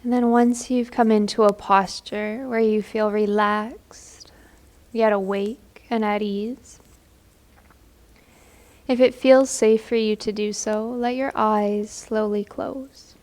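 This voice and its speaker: Slow, even-toned woman guiding meditation. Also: medium pitch. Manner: gentle, soothing, unhurried. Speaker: guided meditation leader. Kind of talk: guided meditation.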